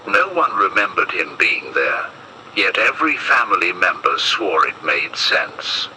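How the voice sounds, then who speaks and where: Male narrator for analog-horror warning tape: very low voice, grave, measured, lively pitch movement. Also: background noise.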